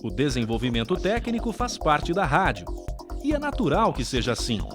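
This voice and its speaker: A man's voice: fairly high pitch, wildly swooping pitch, moderate pace, music in the background. A man with a Brazilian accent reading scripted documentary narration.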